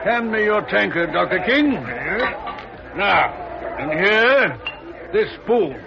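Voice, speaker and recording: High-voiced jovial tipsy tavern patriot. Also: male voice, animated, slow pace, vocal fry, noisy background, full character voice. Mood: boisterous, boastful. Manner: jovial, tipsy, formal old-fashioned phrasing. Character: hearty boastful tavern patriot, colonial townsman, generous drinker. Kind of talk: 1950s radio drama acting. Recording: muffled vintage radio recording, tavern crowd.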